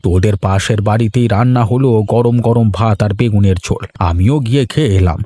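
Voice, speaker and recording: A man's voice: lowish voice, brisk, mellow, close to an everyday voice. A man voicing a frank, familiar older villager in a cartoon story. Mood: chatty, probing. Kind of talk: Bengali moral-story cartoon voice acting.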